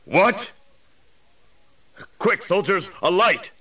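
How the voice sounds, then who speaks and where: A forceful, anguished Roman jailer in a dramatized story. His delivery is unhurried and theatrical, with big swings of pitch and an excitable temper. The voice sits a little back from the microphone. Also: distant mic, a little hiss or hum, exaggerated voice. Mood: shaken, pleading. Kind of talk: audio drama acting.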